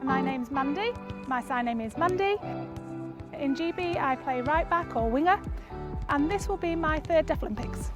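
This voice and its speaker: A woman in her thirties, a football team captain, speaking proudly and warmly in an interview. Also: high voice, animated, normal speed. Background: music.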